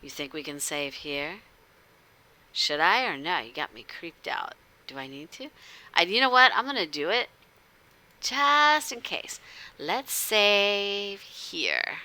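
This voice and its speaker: Female streamer narrating a story game: low-pitched, slow-paced, playful and theatrical. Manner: animated, theatrical, drops into whispers. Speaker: livestream host reading a visual novel with chat. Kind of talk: playful livestream chat mixed with story narration.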